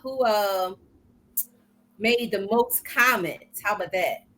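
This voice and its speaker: An older woman co-hosting a live talk show, chatty and lively, laughing often. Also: mid-range voice, takes it slowly. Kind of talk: casual live show chat.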